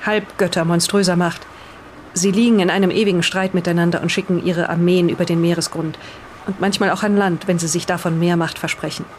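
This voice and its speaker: Someone voicing an earnest, defensive island resident in an improvised radio play, speaking deliberately as if reading aloud. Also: medium pitch, steady tone, a little hiss or hum, lightly acted. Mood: solemn reading.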